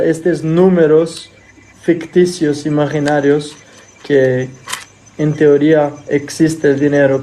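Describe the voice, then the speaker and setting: Mellow higher-voiced man, non-native Spanish. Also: a little hiss or hum. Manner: non-native Spanish speaker, sometimes searching for words; thoughtful, explains with examples. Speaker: podcast co-host. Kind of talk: casual discussion.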